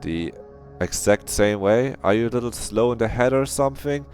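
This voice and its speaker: A man's voice: medium pitch, moderate pace, darkish tone, soft background music, pitched above the performer's own voice, lightly acted. Man voicing a snappy, sarcastic young woman. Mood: annoyed, sarcastic. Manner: snappy, scornful.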